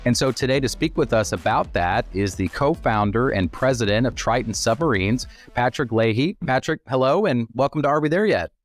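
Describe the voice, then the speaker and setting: A man hosting an interview podcast in a conversational way, speaking politely with a halting delivery. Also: mid-range voice, animated, faint music behind. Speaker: podcast host.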